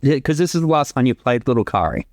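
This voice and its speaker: A man's voice: mid-range voice, big pitch swings, quick pace, a little mellow, no echo. A man giving casual creator commentary, chatty and explanatory, close on the mic. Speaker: commentary host, series creator.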